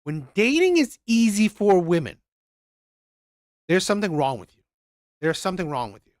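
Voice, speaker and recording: Guy reacting to a clip, mocking, pitch jumping all over, dry close sound. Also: sing-song, moderate pace, a little mellow, no room sound. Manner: mocking, repeats himself, mimics voices. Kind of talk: reaction commentary.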